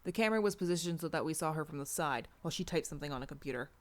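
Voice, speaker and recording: A woman's voice: sits low, lively intonation, very fast. A woman reading a first-person story aloud as an audiobook narrator. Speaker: audiobook reader. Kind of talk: scripted fiction narration.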